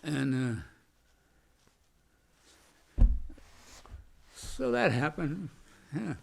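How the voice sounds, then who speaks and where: Gravelly older man, halting and slow. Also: fairly high pitch, sing-song. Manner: halting, many restarts and fillers; says he has a loud voice. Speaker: veteran interviewee. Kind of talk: oral history recollection.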